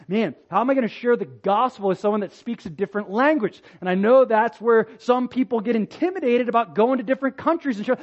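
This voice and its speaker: A man preaching a sermon in an earnest voice, dropping soft and slow for his main points and rising loud when he reads scripture or acts out a doubting believer. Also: high voice. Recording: dull lo-fi sound.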